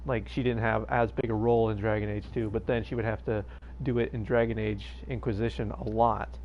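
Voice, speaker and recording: A man's voice: lowish voice, flat delivery, fairly quick, dull audio, slight background noise. A man streaming a game, chatting casually and hesitantly into his own microphone, with lots of fillers and restarts. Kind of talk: casual live-stream chat. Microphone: own plugged-in streaming microphone.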